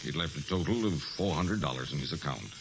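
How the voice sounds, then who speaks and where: Formal, concerned male banker, very low voice, lively intonation, vintage radio play. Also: a little fast, gravelly, heavily acted, rough recording. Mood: concerned, explanatory. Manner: formal, forthcoming. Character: courteous, concerned small-town male banker. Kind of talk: 1940s radio drama acting.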